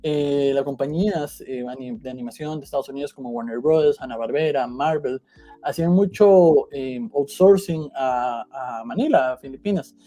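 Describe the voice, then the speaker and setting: Fast-talking Spanish-speaking male host. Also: medium pitch, dark tone. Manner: quick, laughing, stumbling in English. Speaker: host, interviewer and translator. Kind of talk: casual interview, translating.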